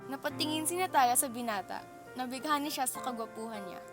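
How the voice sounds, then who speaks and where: A woman narrates a scripted radio drama. Her voice is high, and music plays clearly beneath her reading. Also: a little fast.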